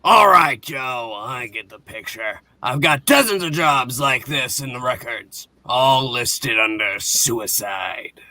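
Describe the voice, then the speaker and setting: A man's voice: medium pitch, animated, takes it slowly, few pauses, sharp tone, no echo, full character voice. A man voicing wartime resistance fighters and a commando officer with a put-on French accent, declaiming theatrically. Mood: exasperated.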